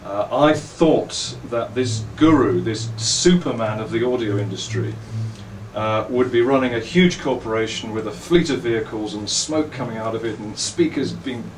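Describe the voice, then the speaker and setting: A middle-aged man with an English accent, giving reflective, articulate interview answers in measured phrases and telling anecdotes as a company owner and designer. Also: mid-range voice. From England. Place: indoors at a trade show. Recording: roomy, distant mic, faint background noise.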